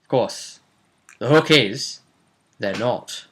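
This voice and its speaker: A man's voice: mid-range voice, expressive, slow, a bit bright. A man acting as game master, chattily recapping a tabletop role-playing session in an informal, rambling way. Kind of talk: casual conversational recap.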